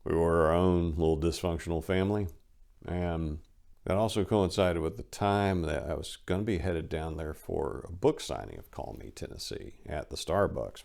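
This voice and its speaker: A very low-voiced man tells a personal story. His voice has a heavy gravelly creak, and he speaks in blunt, folksy slang. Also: a little mellow. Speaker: author telling a personal story.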